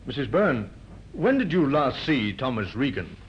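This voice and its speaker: An actor playing a courteous, quietly pressing police inspector in a 1950s radio drama. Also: male voice, mid-range voice, very animated, normal speed, close to an everyday voice. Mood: calm, pressing. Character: calm, firmly insistent male police inspector. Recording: muffled, noisy old radio recording.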